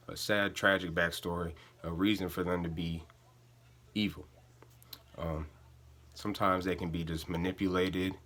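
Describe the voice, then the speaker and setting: A man's voice: sits low, fairly bright tone. A young man giving an informative student speech in an informal, hesitant way. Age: young adult. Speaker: student speaker.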